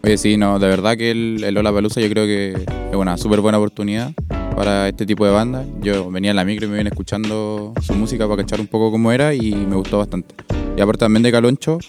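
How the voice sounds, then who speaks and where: A man's voice: fairly low pitch, steady tone, fast, music in the background. A Chilean man co-hosting, chatting loosely with local slang.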